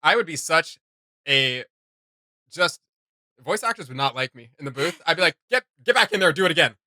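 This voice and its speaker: A man's voice: a little high, expressive, fairly quick, bright, forceful tone, no room sound, clean studio sound. A man hosting a reaction video, giving casual, emphatic and opinionated commentary.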